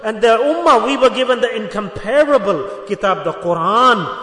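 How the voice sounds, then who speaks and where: A man's voice: high-pitched, animated, moderate pace, fairly bright tone. A man whose first language is Urdu, preaching a sermon and exhorting listeners with rhetorical questions and repetition. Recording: echoey hall with long reverb.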